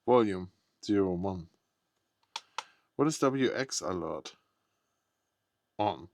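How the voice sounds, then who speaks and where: A man's voice: low voice, expressive, slow pace, vocal fry, a little hiss or hum. A man giving casual, unscripted unboxing commentary, thinking aloud in short, tentative remarks. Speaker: product unboxing vlogger.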